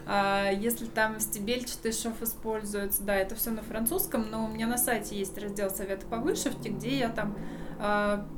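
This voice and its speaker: A woman's voice: mid-range voice, moderate pace, noisy background. A woman guest on a podcast, an embroiderer and shop owner, chatting casually and laughing often as she tells stories. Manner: lively storyteller, laughs often.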